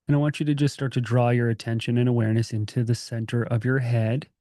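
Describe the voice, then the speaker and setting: A man leading a guided meditation as a teacher, speaking in a gentle, calming, informal way with pauses. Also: medium pitch, no room sound, clean studio sound. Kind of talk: guided meditation, conversational and unscripted.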